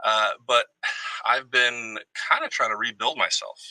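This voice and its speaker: Very bright, forceful man talking candidly. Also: medium pitch, unhurried, no room sound, rough recording. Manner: reflective, self-revealing. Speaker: guest, retired army veteran. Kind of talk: candid casual personal talk.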